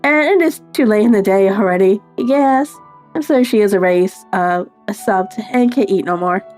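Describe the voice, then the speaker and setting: A woman's voice: medium pitch, normal speed, a little mellow, faint music behind. A woman narrating a visual novel playthrough, reading story prose aloud and dropping in casual asides.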